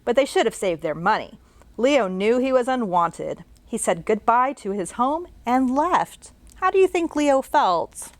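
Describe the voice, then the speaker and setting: A woman's voice: fairly high pitch, sing-song, measured pace, no echo. A children's librarian, a woman, reading a picture book aloud and asking young listeners questions along the way.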